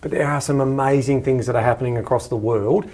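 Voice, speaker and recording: A man's voice: mid-range voice, steady tone, quick pace, darkish tone. A man with an Australian accent chatting enthusiastically as a podcast co-host in a casual style. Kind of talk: casual chat.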